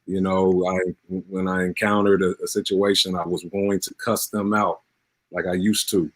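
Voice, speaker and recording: American male guest, lower pitch, casual storyteller, dry recording. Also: little pitch movement, moderate pace, dry recording, low-quality recording. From Ohio, American Midwest. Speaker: interview guest, radio theater cast member.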